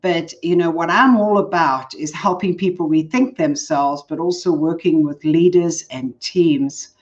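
An older woman with a South African accent, speaking as a guest coach in a relaxed interview and laughing often. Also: deep voice, unhurried, dull audio. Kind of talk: casual interview chat.

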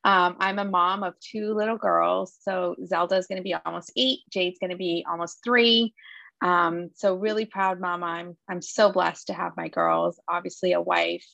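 Gravelly low-voiced woman, warm and earnest. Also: steady tone, normal speed, dry recording, lo-fi sound. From Lebanon. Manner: earnest, many restarts and fillers. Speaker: guest, entrepreneur. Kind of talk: casual interview chat.

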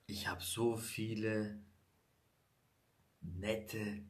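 A man's voice: on the low side, drawn-out words. A man with Italian roots talking bluntly and emphatically in a casual conversation, telling stories from his own life. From Italy. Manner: emphatic, blunt, animated storytelling.

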